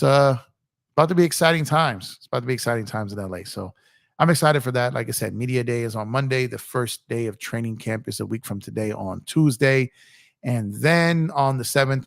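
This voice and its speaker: A man hosting a casual basketball livestream, a little hoarse from losing his voice, chatty and rambling as he talks to his viewers. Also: medium pitch, dry recording, clean studio sound. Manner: chatty, rambling with restarts, speaks to chat viewers. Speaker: YouTube basketball streamer.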